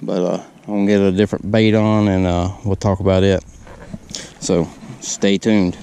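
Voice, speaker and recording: Man, deep mellow voice, halting laid-back how-to talk, outdoors by a lake, action-cam mic, faint background noise. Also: level tone. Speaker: fishing vlogger. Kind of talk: casual how-to narration while fishing. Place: outdoors on a lakeshore. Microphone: body-mounted action camera.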